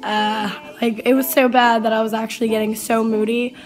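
Young woman, raspy strained voice, rambling casual chat, slightly high, ukulele music behind. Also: level tone, fairly quick. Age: young adult. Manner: rambling, filler-heavy with lots of "like". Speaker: vlogger. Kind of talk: casual travel vlog chat. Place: hotel room and outdoor terrace on an island. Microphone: phone camera at arm's length.

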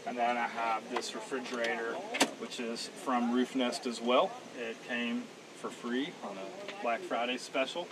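A man in his thirties talks casually through a walkaround of his rig, relaxed and unhurried with plenty of ums. He stands a little back from the camera mic, and steady outdoor noise runs under his voice. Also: medium pitch, far from the mic. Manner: relaxed, plain-spoken, frequent "um"s. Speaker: vehicle owner giving a walkaround. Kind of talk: casual show-and-tell walkaround. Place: outdoors at a campground event, by a truck, then inside the cab.

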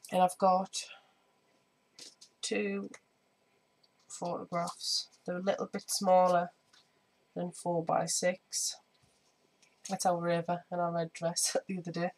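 A woman with a slightly croaky voice, chatting casually through a scrapbooking tutorial with lots of ums while she works at a craft table. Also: lowish voice, low-quality recording. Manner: chatty, many ums, hands busy while talking. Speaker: scrapbooking vlogger. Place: indoor home craft table. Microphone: overhead camera on desk, speaker off screen.

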